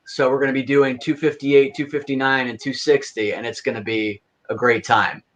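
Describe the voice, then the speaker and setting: A man's voice: medium pitch, flat delivery, moderate pace. A man talking in a casual, conversational style as part of a group chat.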